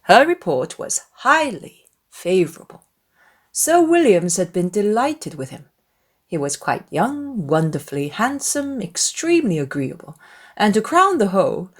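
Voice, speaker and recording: A woman narrates a classic novel as a dramatized audiobook. Her voice sits a little low, and she tells the story expressively, in measured phrases.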